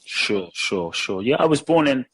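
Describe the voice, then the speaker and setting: A man from Ghana who grew up in London, a guest on a podcast, telling his life story in a reflective, measured way with many pauses and fillers. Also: mid-range voice, sing-song, a bit bright, a little hiss or hum, low-quality recording. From Ghana, raised in London. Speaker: guest, spiritual teacher.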